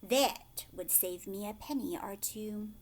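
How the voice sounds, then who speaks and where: A woman's voice: medium pitch, slow pace. Woman reading as a boastful, sneering young harpist. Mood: scheming. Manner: sneering, scheming. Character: boastful, scornful, selfish young man harpist. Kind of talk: read-aloud storybook character.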